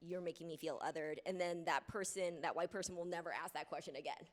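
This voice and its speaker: Woman panelist, grew up in the us, low-ish voice, casual and checks in a lot. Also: fairly even intonation, fast. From United States. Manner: conversational, often checks in with "right?". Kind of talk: panel discussion. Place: college lecture hall.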